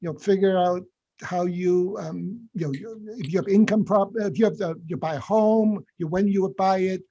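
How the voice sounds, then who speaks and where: A man's voice: high voice, normal speed, mellow, lo-fi sound, a little hiss or hum. A man hosting an online finance class, chatty and laughing often, restarting his sentences as he talks with attendees. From Boston area. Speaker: lecturer hosting a finance session. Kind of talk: casual online class chat.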